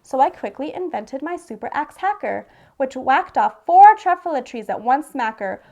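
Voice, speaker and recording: A woman reading a rhyming children's story aloud with expressive narration. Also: high voice, normal speed. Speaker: storybook reader.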